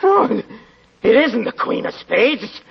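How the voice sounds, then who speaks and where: Crazed, high-pitched, raving gambler. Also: male voice, very animated, measured pace, gravelly, breathy edge, full character voice. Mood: bewildered, manic. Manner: stammering, manic, breaking into mad laughter. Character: crazed gambler, a haunted murderer raving in anguish. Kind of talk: 1940s radio acting. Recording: muffled 1940s radio recording, crowded background.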